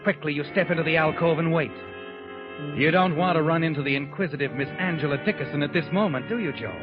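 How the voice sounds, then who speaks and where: A man's voice: fairly high pitch, fast talker, lightly acted. Ominous, sardonic narrator for vintage radio mystery, male actor: insinuating, dramatic, over music on a lo-fi recording. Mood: tense. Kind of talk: 1940s radio mystery narration, second person.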